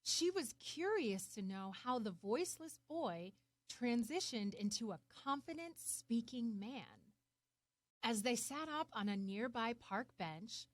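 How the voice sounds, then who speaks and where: A woman narrating a children's story in an expressive, deliberate way. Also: fairly high pitch, dry recording. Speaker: storybook reader.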